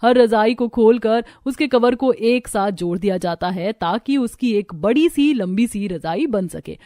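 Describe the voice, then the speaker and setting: A woman reading a scripted story as the narrator of an animated cartoon. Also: a little high, measured pace, no room sound, clean studio sound.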